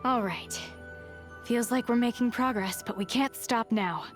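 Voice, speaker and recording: An actress playing a guarded, resolute young huntress in a video game, plain-spoken and understated. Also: female voice, on the high side, unhurried, faint music behind, lightly acted. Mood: determined. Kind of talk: video game character voice lines.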